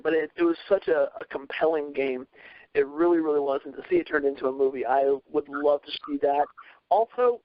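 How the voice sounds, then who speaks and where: A man's voice: fairly high pitch, no room sound. A man giving his opinions in a casual panel chat, earnest and emphatic. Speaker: podcast panelist. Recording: slightly dull, lo-fi audio.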